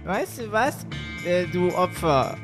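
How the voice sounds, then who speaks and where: A man's voice: high voice, animated, slow, music in the background. A man playing a cocky, blunt, slangy street tough who bosses people around in an improvised comedy audio play. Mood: bossy.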